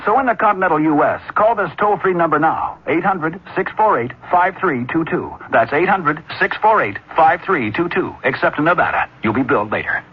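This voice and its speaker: An American male announcer makes a scripted sales pitch. His voice sits a little high with a husky edge, squeezed through a tinny, narrow-band vintage radio sound. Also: animated, normal speed, few pauses. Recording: thin, narrow-band old radio broadcast.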